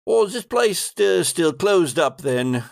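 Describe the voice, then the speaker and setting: An easygoing male maintenance worker, put on by a game master, gossiping and rambling in a folksy way. His voice sits a little high, with gravelly creak in a dry recording. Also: expressive, measured pace, no echo, full character voice. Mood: casual, friendly. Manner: rambling, folksy, ungrammatical working-man speech.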